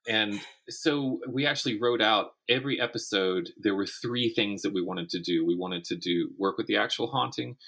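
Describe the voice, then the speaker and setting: Male interview guest, writer type, very low voice, explanatory, works out thoughts aloud. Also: normal speed, few pauses, fairly bright tone. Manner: explanatory, thinks aloud with self-corrections. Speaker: guest author and audio drama writer. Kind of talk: conversational interview about the writing craft.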